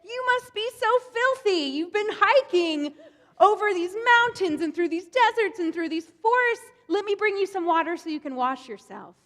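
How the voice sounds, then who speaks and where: A woman's voice: extremely high pitch, expressive, normal speed, a bit bright, voice pushed up. A woman voicing a fussy, hospitable old man who dotes on a guest with insistent Yiddish exclamations. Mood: doting. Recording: long hall reverb.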